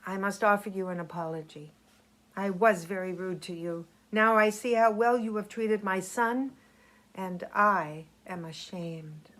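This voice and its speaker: A woman's voice: mid-range voice, a little slow, a bit bright, close to an everyday voice. A woman voicing a proud, protective nobleman father in a folk tale, speaking formally and with emphasis. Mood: ashamed, apologetic.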